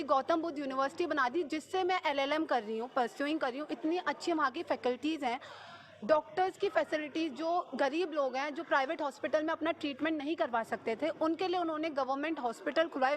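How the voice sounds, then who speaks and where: A woman's voice: high-pitched, fairly bright tone. A woman in her twenties with a North Indian accent, speaking insistently as a local resident in a spontaneous, impassioned street interview, mixing in English words. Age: 20s. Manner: insistent, repetitive, mixes in many English words. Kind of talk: impassioned spontaneous vox pop.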